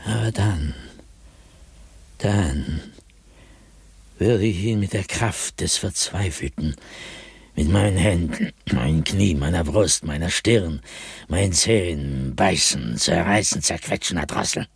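An actor playing a tormented, desperate man obsessed with an unseen being, in a theatrical, halting German radio drama monologue. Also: low voice, gravelly, husky, no echo, full character voice. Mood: tense, vengeful. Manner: halting, repetitive, dramatic, with anguished emphasis.

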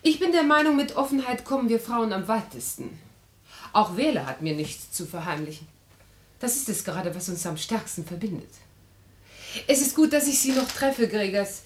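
A woman's voice: fairly high pitch, very animated, normal speed, echoey room. An actress playing a frank, composed, practical woman in a radio play, matter-of-fact and articulate. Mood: composed, candid. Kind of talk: classic German radio play acting.

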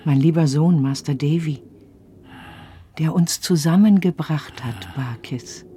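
An older country wife in a radio drama, full of tender grief. Her voice is mellow and her words come slowly and plaintively, with faint noise behind her. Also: deep voice. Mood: tender, sorrowful. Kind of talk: German radio play acting.

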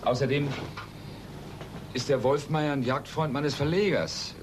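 An actor playing a cautious, kindly journalist in a radio play, speaking earnestly and hesitantly, as if to children. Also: male voice, on the high side, steady tone, measured pace, lightly acted. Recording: noisy radio play tape.

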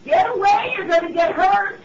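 A woman's voice: extremely high pitch, normal speed. An older woman speaking as a hotel guest on a phone call. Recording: muffled, very noisy telephone line.

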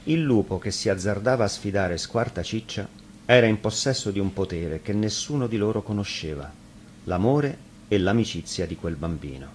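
Low-voiced man reading a fairy tale. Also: lively intonation, normal speed. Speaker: storyteller. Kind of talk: dramatic scripted fairy-tale narration. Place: radio studio. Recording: faint background noise.

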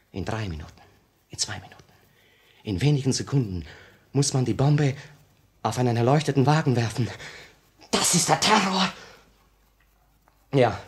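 An actor in a 1950s German radio play playing a tired, frightened, ashamed revolutionary in an anguished, confessional manner. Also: male voice, mid-range voice. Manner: confessional, anguished, dramatic swings from hushed to outbursts.

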